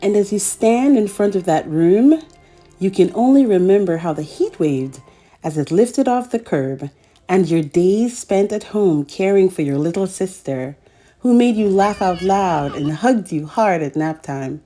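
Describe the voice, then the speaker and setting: A woman's voice: medium pitch, lively intonation, measured pace, warm tone, faint music behind. A woman hosting a children's read-aloud, telling a picture-book story expressively and speaking directly to young listeners.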